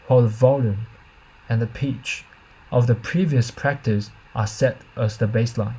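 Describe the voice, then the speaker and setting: A man's voice: mid-range voice, dark tone, breathy edge, low-quality recording. A man reading a scripted academic presentation as a researcher, in a careful, formal manner with slight stumbles.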